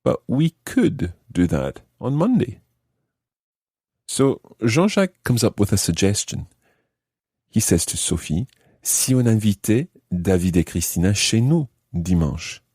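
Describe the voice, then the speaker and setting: Deep, slow, mellow male language teacher. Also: sing-song, breathy edge, no room sound, clean studio sound. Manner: didactic, careful enunciation. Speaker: language teacher, podcast host. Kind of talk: explanatory lesson, translating phrase by phrase.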